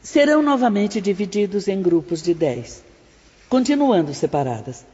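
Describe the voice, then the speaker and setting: Uma mulher interpretando uma sábia e rigorosa instrutora espiritual num audiolivro dramatizado, em tom formal e didático. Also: female voice, medium pitch, sing-song, normal speed, warm tone, husky. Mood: didactic. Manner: formal, didactic. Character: Doris, wise female spirit instructor, composed and strict. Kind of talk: scripted audio-book drama in Portuguese. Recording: dull audio with long reverb.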